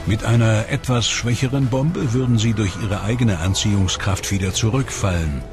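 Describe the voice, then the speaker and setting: Low-voiced male documentary narrator. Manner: measured, explanatory delivery. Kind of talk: scripted voice-over narration. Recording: voice-over with music behind.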